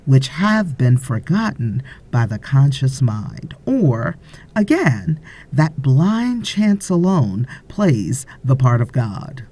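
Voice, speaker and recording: A woman narrating a spiritual audiobook in deliberate, measured phrases with pauses. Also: extremely low pitch, very animated, mellow, slightly rough. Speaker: audiobook reader.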